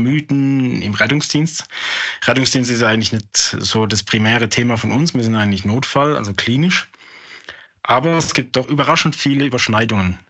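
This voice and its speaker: A man co-hosting a podcast in a relaxed, conversational way. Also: mid-range voice. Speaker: podcast co-host. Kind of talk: casual podcast chat. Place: on site at a training course.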